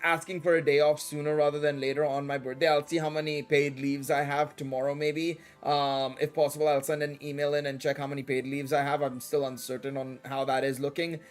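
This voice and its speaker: A man's voice: a little high, flat delivery, brisk, few pauses, clear, resonant tone. A man with an Indian English accent that drifts toward British and American, chatting casually and in a rambling, slangy way as he streams a game. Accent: shifting Indian English with British and American touches. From Dubai and India. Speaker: live streamer. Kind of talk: casual livestream chat during gameplay. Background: music.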